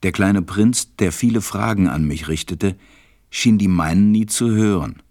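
A man playing a serious stranded pilot who narrates his story in a measured, deliberate way in an audio drama. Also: deep voice, darkish tone, no echo, clean studio sound. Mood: serious, reflective. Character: stranded adult male pilot, serious narrator recalling events.

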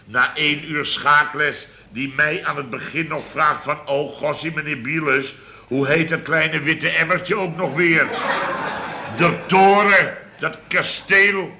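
Radio comedy: an exasperated company boss, very forceful bright voice, theatrical sarcasm, sputtering bluster. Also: male voice, a little high, fairly even intonation, slow pace, a little hiss or hum, exaggerated voice. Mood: mocking outrage. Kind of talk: radio comedy serial acting.

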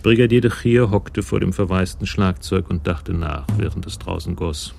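A man narrating a German radio crime drama from a script in a measured, wry, descriptive way. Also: low voice, vocal fry, over music.

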